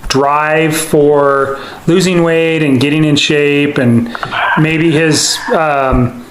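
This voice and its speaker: An American man with a slightly high voice speaks in a clearly echoey room with faint noise. As an investigator he interviews casually, haltingly feeling his way through long, rambling questions. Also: steady tone. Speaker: police investigator conducting interview.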